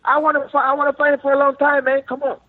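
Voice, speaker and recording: A man's voice: very high voice, completely flat delivery, fast talker. A man from Cuba with a Cuban Spanish accent, calling in and speaking insistent, repetitive, broken English; Spanish is his first language. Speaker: fighter calling in. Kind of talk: phone interview. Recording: dry, tinny phone line.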